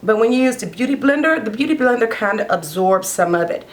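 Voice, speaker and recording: Chatty hoarse woman doing her makeup. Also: a little high, lively intonation, a little fast. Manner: chatty, rambling, talks while doing makeup. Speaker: beauty vlogger. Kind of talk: casual get-ready-with-me chat.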